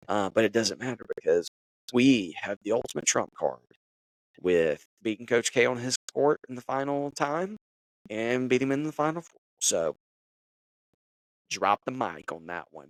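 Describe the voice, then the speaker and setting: A man's voice: medium pitch, no echo, rough recording. A man hosting a podcast, moving from sports chat into a devotional message in a loose, conversational way with plenty of fillers. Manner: conversational, preachy, many fillers. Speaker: podcast host. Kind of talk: casual devotional podcast talk.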